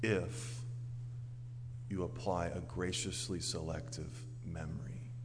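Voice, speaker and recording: A man's voice: sits low, steady tone, slow pace, background noise. American man preaching in a reverberant hall. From Orlando, Florida, United States. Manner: earnest, emphatic, swinging from forceful declarations to quieter storytelling. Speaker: pastor preaching to a congregation. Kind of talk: sermon.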